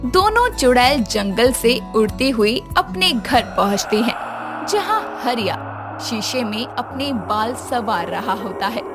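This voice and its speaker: Woman narrating a bedtime story, slow pace, animated highish voice, loud music behind.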